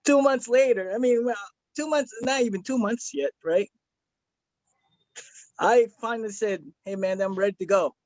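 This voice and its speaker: A man's voice: high voice, normal speed, pauses often, a little mellow, dry recording, dull audio. A man from the Philippines giving an earnest, rambling testimonial over a video call, with many fillers and restarts. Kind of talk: casual video-call chat.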